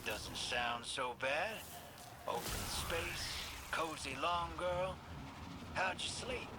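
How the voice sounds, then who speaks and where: Roguish man, lively high voice, unhurried, noisy audio. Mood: wry. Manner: wry, drawling, teasing. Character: wry roguish male drifter.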